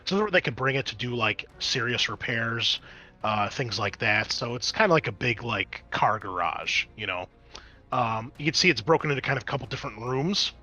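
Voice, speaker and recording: A man's voice: medium pitch, animated, moderate pace, bright, forceful tone. A man running a tabletop roleplaying game as game master, switching between conversational rules talk and dramatic scene description.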